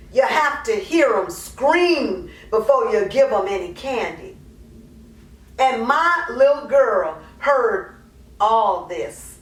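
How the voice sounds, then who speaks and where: A woman performing a dramatic monologue as a raw, confessional, crack-addicted mother, in halting, colloquial, emphatic speech. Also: on the high side. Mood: pained, regretful. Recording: roomy echo, distant microphone.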